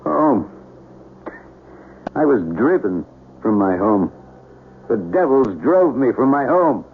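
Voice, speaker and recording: Anguished chemist on tinny noisy radio. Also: male voice, mid-range voice, very animated. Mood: distraught, defiant. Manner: agitated, halting, self-reproaching. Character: anguished, guilt-ridden chemist in his forties. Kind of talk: 1970s radio drama acting. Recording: tinny, band-limited, noisy old radio recording.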